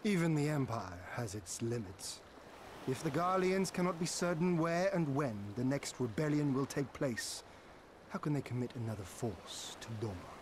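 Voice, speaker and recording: An actor playing a noble, resolute young lord in a video game, speaking in formal, archaic, measured phrases. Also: male voice, medium pitch, animated, noisy background, natural voice. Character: noble young lord leading a liberated nation, resolute and gracious.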